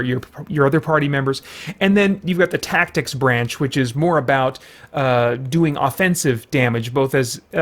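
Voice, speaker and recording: A man hosting a casual, unscripted video game review, explaining things conversationally with plenty of hedging. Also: on the high side. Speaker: host reviewing video games.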